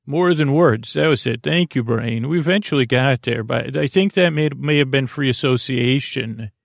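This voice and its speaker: A man hosting a podcast with a creaky voice, rambling through an improvised monologue, halting and digressing as he trails off mid-thought. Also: mid-range voice, dry recording, clean studio sound. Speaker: podcast host.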